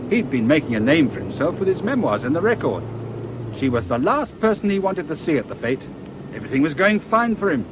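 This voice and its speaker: An actor playing a shrewd British police inspector in a radio drama, with a dry, colloquial, knowing manner. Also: male voice, muffled recording, natural voice. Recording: noisy outdoor ambience, vehicle rumble.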